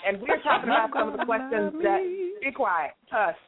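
A woman hosting a music-business talk radio show, animated and playful with her guests. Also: a little high, crowd noise. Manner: animated, teasing, quick reactions. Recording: tinny phone line.

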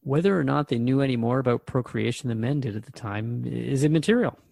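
A man's voice: medium pitch, brisk, gravelly, darkish tone, dry recording, studio quality. A man acting as a radio host in a drama, asking questions in an earnest, interviewing manner.

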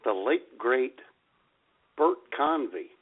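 A man co-hosting a radio show, bantering casually, joking and excitable. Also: medium pitch, takes it slowly, breathy edge. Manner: jokey, excitable, laughs a lot. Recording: tinny phone-like sound.

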